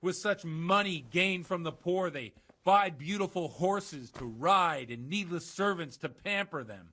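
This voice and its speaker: An actor playing a worldly, roguish village priest with a theatrical streak in a medieval video game. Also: male voice, on the high side, expressive, a little slow, pushed and bright, exaggerated voice. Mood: fiery preaching. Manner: conversational, theatrical when preaching. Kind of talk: dramatic video game dialogue.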